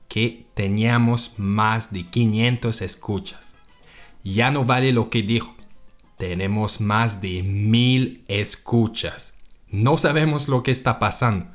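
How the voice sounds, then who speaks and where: A man co-hosting a podcast for Spanish learners, talking in a casual monologue, slow and self-correcting, often tagging his sentences with a questioning "no?". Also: mid-range voice. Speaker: podcast co-host.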